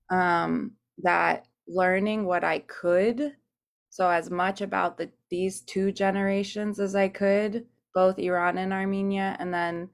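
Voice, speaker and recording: A woman singer and teacher on a video call from her desk at home, telling her personal story in an earnest, searching way and pausing to gather her thoughts. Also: fairly low pitch, creaky. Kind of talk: reflective personal account. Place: home room at a desk by a window.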